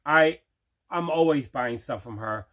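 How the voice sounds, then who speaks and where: A man's voice: medium pitch, a little slow, pushed and bright. A man hosting a collector's video channel, chatting casually through an unboxing with emphatic, repeated phrases. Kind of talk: casual unboxing vlog chat.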